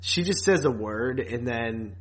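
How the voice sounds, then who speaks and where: A man's voice: medium pitch, lively intonation, measured pace. A man co-hosting a podcast, chatting casually about a series in a slangy, conversational way. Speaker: podcast co-host. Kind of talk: casual chat reviewing a TV series.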